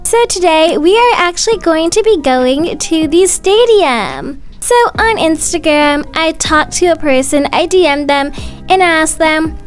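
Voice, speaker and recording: High-voiced chatty woman over background music. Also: animated, unhurried. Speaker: channel host and narrator. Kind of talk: roleplay storytelling over a life-simulation game.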